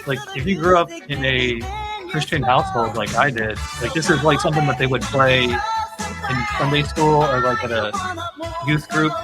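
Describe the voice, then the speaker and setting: A man's voice: medium pitch, moderate pace. Guy hosting a loose music chat, kinda rambly and unsure, music playing behind him. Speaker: podcast host. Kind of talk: casual panel chat about music.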